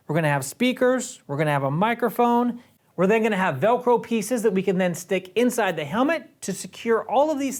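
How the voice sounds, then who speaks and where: An American man in his thirties presenting a product overview to camera in a casual, explanatory way. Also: high-pitched. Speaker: product reviewer and presenter for a motorcycle gear shop. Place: indoor video studio set.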